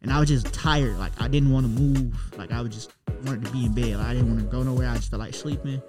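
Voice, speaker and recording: A man's voice: mid-range voice, fast talker, loud music behind the voice. A young man, a rapper, chatting casually and telling a long story in a loose, profane way. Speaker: rapper guest. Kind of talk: casual chat.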